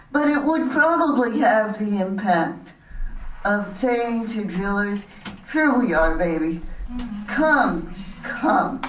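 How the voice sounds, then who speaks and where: A woman giving a prepared public comment as a resident, in a measured, deliberate way. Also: medium pitch. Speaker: resident speaking at a town board meeting. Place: community center meeting hall. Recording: echoey hall, distant, faint noise.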